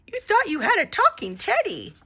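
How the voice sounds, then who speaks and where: A woman voicing a small excitable boy who giggles easily, in a squeaky, excited voice. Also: normal speed, exaggerated voice. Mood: giggly.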